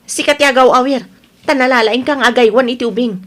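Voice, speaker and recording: A woman's voice: sits high, a little fast, a bit bright. A woman playing a headstrong, restless young wife in an audio drama, with an Ilocano Filipino accent. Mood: impatient, insistent. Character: headstrong restless young wife wanting city life, defiant.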